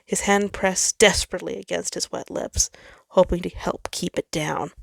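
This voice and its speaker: A woman's voice: fairly low pitch, fairly even intonation, moderate pace, gravelly, a bit bright, no echo. A woman reading a story aloud as narrator, in a dramatic scripted style. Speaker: fan fiction narrator.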